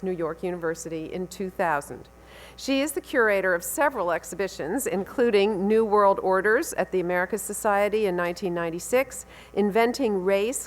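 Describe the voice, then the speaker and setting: A woman hosting a symposium session, reading a speaker's bio aloud with stumbles, fillers and wry asides. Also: mid-range voice. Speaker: symposium host introducing the speaker. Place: lecture hall at a symposium.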